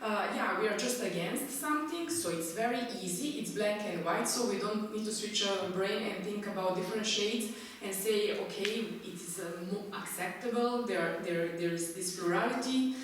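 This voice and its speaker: A woman on a panel, speaking earnestly and haltingly with many fillers. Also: mid-range voice, slight background noise. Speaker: panelist, church programme officer. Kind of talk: panel debate answer. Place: large conference hall. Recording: echoey hall, voice far off.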